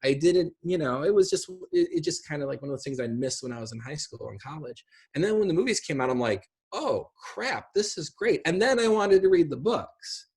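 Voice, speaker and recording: A middle-aged man with a slightly rough, husky voice answers questions on a video call from home. He explains things informally, rambling from one example to the next. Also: a little high, expressive, brisk, darkish tone. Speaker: guest speaker. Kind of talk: casual explanatory Q&A talk. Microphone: video call microphone.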